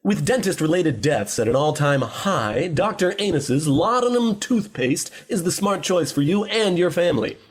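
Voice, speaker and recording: Husky, animated old-time radio pitchman. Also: moderate pace, hardly any pauses. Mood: upbeat, selling. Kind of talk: parody vintage radio commercial.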